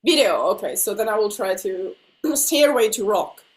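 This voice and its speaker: A woman's voice: medium pitch, lively intonation, normal speed. A woman with a slightly nasal voice from being ill, whose first language is Finnish, chatting casually over a video call as the interviewed singer and laughing often.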